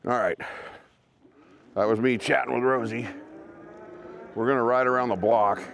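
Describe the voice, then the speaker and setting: A man's voice: mid-range voice, steady tone, moderate pace, vocal fry, fairly bright tone, a little hiss or hum. A man hosting a gear review vlog, chatting informally and directly to his viewers. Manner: informal, conversational, talks to viewers. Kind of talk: casual product review chat to camera.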